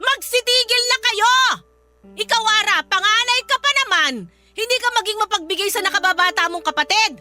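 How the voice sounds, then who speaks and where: A woman's voice: very high-pitched, fairly quick, heavily acted. A Filipino woman acting an exasperated, reproachful mother scolding her children in a radio drama. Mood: angry, scolding. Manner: sharp, reproachful. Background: music.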